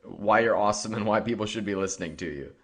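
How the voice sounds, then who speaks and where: Upbeat low-voiced male podcast host. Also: fast talker, fairly bright tone. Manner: upbeat, motivational, laughs easily. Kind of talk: scripted intro, then interview questions.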